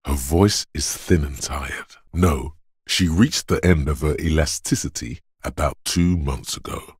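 An actor voicing the brooding inner voice of a detective's body in a video game, gravelly and husky, speaking gravely and deliberately with theatrical weight. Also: male voice, rumbling low, no room sound, studio quality, exaggerated voice. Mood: grave, terse. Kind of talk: scripted game narration in second person.

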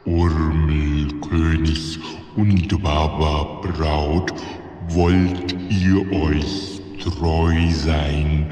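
A man voicing a solemn water-creature uncle officiating in a children's audio play, halting and full of odd interjections. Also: very deep voice, flat delivery, darkish tone, heavily acted. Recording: echoing cave-like hall, distant, music behind.

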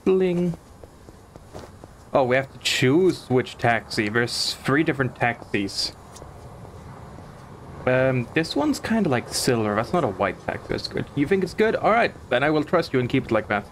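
A man's voice: medium pitch, big pitch swings, a little slow, slight background noise. A man chatting casually as a live streamer while he comments on the game he is playing.

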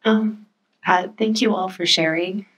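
A woman moderating a panel, asking a question gently and hesitantly with many ums. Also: medium pitch, fairly even intonation, unhurried, husky, poor audio.

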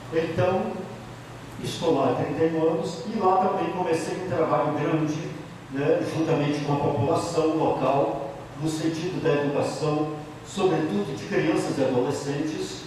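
A man's voice: fairly high pitch, darkish tone, slight background noise. A middle-aged man with a Bahian Portuguese accent, a priest telling his life story to a group in an office meeting room on a handheld mic, emphatic, repeating key phrases. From Bahia, Brazil. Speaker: priest giving a talk. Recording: echoey room from a far camera.

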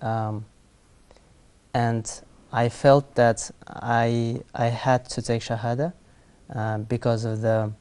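A young man in his twenties from Kazakhstan, a studio interview guest who answers in a soft, measured, hesitant way. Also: mid-range voice, flat delivery, clear, resonant tone. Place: TV studio set.

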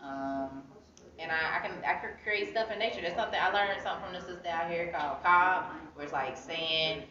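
A woman with an American accent chatting informally as an interview guest, telling stories in a casual, animated way. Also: on the low side, fairly quick. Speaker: interview guest, poet and author. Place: indoor arts center room, seated. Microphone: phone camera a few metres away. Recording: echoey room, distant muffled phone-camera sound, chatter.

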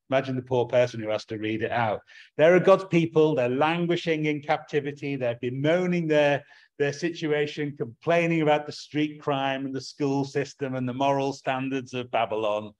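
A man's voice: medium pitch, steady tone, moderate pace. A middle-aged man with an English accent preaching a sermon from a small room at home, earnest and prone to restarting his words.

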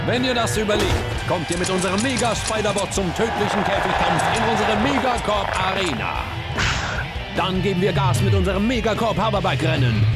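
A man's voice: sits high, close to an everyday voice. A man playing a bombastic, theatrical TV announcer in a video game, narrating grandly. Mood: hyped. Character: bombastic TV announcer of hero shows and arena games. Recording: loud music under the voice. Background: loud music.

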